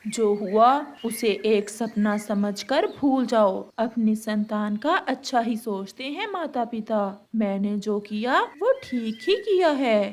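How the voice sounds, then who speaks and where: A woman's voice: on the high side, expressive, slow pace, hardly any pauses. A woman playing a stern, stubborn father bird with a firm, commanding manner in a cartoon story.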